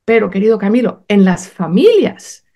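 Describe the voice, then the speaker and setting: A woman's voice: medium pitch, very animated, normal speed, dark tone. A woman whose first language is German, speaking hesitantly with many fillers and restarts as an author being interviewed. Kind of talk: conversational interview.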